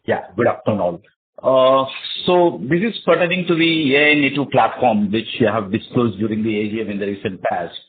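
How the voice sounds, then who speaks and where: A man's voice: medium pitch, normal speed, a little hiss or hum. A man, an analyst caller putting a question on an earnings call. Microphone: phone call. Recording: thin narrow phone line.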